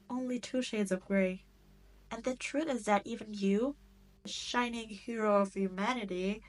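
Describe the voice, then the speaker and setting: Demon queen for roleplay audio, played by a woman: cruel and seductive, mid-range voice, very deliberate pacing, haughty taunts. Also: mid-range voice, lightly acted. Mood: measured, persuasive. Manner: taunting, haughty, condescending, teasing. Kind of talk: scripted roleplay audio drama monologue.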